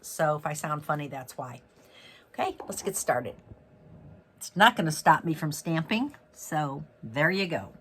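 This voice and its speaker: Male craft host, raspy and congested from a cold, upbeat chatty delivery. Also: on the high side, big pitch swings, a little slow, sharp tone, a little hiss or hum. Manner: chatty and upbeat, with many fillers and self-corrections. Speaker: stamping demonstrator and host. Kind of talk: casual card-making tutorial.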